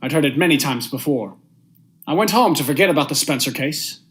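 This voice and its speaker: A man's voice: on the high side, lively intonation, a little fast, a bit bright, a little hiss or hum. A young man acting a stern judge and narrator in a vintage radio drama, speaking formally and with measure. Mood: brooding. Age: young adult. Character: stern, unmoved male judge, husband and brooding narrator.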